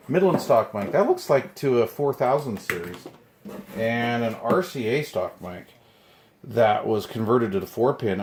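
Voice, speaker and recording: A man giving casual, rambling, laid-back unboxing commentary as a hobbyist host, muttering to himself as he goes. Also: medium pitch. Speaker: video host, hobbyist radio collector. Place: indoor room, handling items from boxes. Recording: echoey room with clear noise and clicks.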